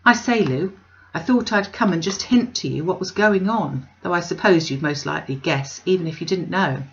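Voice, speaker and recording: A selfish young gentleman from a classic novel, voiced by a woman reader, coaxing his sister with breezy slang and easy cajolery. The lines are wheedling and familiar, on a slightly lo-fi recording. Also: fairly low pitch, moderate pace, natural voice.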